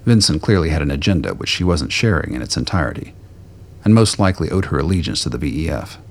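A man's voice: rumbling low, very animated, fast talker. A man reading scripted narration for a radio drama.

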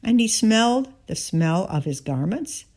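Female scripture reader, mid-pitched, very unhurried and measured, for narrated Bible passages with dialogue. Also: big pitch swings, darkish tone.

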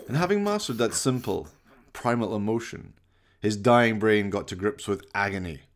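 A man giving theatrical, wry scripted narration for a horror radio play. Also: on the low side. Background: music.

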